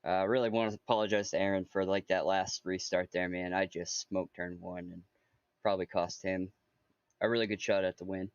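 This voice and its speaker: Brief: low-pitched man, hesitant racer, dull lo-fi chat mic. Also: level tone, a little fast, clean tone, no room sound. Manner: apologetic, hesitant, many fillers. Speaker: sim racing driver, second place. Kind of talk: casual post-race interview. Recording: dry, dull voice-chat audio.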